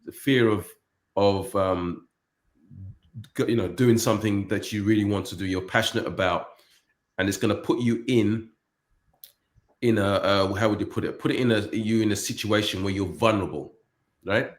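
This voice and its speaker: Echoey, halting low-voiced man. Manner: halting, repeats words and rephrases often. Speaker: panel speaker. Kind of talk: casual discussion. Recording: echoey room sound.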